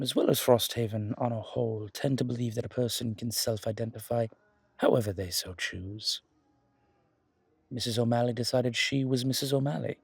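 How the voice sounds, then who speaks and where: A man's voice: mid-range voice, creaky, slightly rough, no room sound. A man playing a charming, flirtatious male museum guide in an audio roleplay, chatting intimately with genteel, hesitant asides. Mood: earnest, firm. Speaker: character performer in an audio roleplay. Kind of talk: intimate ASMR roleplay monologue, conversational.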